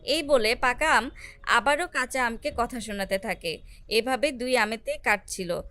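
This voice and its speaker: A woman's voice: on the high side, a little slow, pushed and bright. A woman narrating a scripted children's story. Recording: music under the voice.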